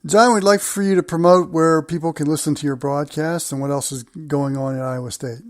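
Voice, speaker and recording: A middle-aged man hosting a sports podcast in a deliberate, announcer-like way. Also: a little high, fairly even intonation, no echo, clean studio sound. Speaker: podcast host. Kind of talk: hosted sports interview and read segues.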